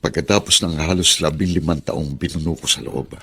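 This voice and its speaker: An actor playing a weary, doubtful long-term convict about to go free, in a rough, deep voice, in a Filipino radio drama. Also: male voice, steady tone, fairly quick, fairly bright tone, full character voice. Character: weary, doubtful male convict freed after fifteen years.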